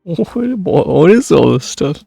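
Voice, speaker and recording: A game streamer with a husky voice making casual reaction commentary in offhand asides. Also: medium pitch, sing-song, measured pace, warm tone, soft background music.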